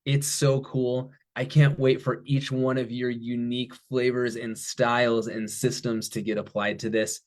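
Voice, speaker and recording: A man with a medium-pitched voice talks informally as a guest executive on a promotional call. He sounds enthusiastic and emphatic, keeping a conversational flow as he pitches his idea. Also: flat delivery, unhurried, few pauses. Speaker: head of business development, guest speaker.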